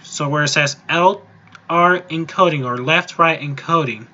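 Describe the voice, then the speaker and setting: A man with a slightly high voice talks through a software tutorial in a loose, informal way. He goes step by step and rambles a little. The recording is a somewhat dull, lo-fi voice-over. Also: a little slow. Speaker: music software tutorial presenter. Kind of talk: casual, improvised screen-recorded tutorial. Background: voice-over on a screen recording.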